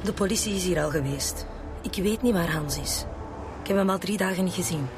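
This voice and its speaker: An actress playing a guarded, distressed nurse in a radio drama, curt and evasive. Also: female voice, fairly low pitch, a little fast, over music, natural voice. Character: guarded, distressed female nurse shielding her boyfriend.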